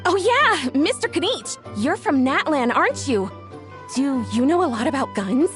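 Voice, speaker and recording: A high, lively young woman's voice, chatty and a touch flustered, rising and falling expressively as she tells a story. She is friendly and earnest throughout. Faint game music hums underneath. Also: a little slow. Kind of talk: video game dialogue. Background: soft game music.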